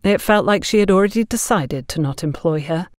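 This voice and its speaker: A woman's voice: fairly low pitch, lively intonation, brisk, no echo, studio quality. A woman reading a scripted story as an audiobook narrator.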